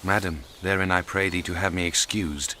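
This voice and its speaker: A man's voice: low voice, steady tone, normal speed, sharp tone, slight background noise. An actor playing a noble, resolute young king in a fantasy audio drama, speaking in formal, archaic, courtly phrases. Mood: proud resolve. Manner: archaic, formal, declamatory speech.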